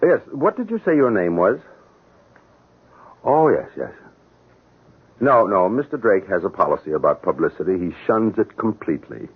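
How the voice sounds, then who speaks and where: Stuffy nervous exec in old radio drama, formal, raspy, tinny narrow sound. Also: male voice, deep voice, very animated, normal speed, pauses often, full character voice. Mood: officious. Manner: formal, clipped, officious. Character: smooth-talking, uneasy executive accomplice and lover. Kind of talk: 1970s radio drama acting. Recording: muffled, phone-like old radio recording.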